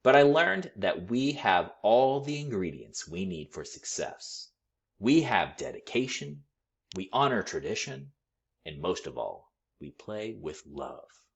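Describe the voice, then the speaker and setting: A man's voice: fairly low pitch, sing-song, a little slow, gravelly, pitched above the performer's own voice, heavily acted. A man voicing an eager, earnest young boy bandleader in a storybook, calling out his lines. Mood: earnest, determined. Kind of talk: storybook character voice.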